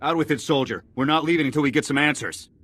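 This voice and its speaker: An actor playing a blunt, loyal army sniper officer with clipped military speech in a video game. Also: male voice, fairly high pitch, quick pace, a bit bright, faint music behind, lightly acted. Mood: irritated.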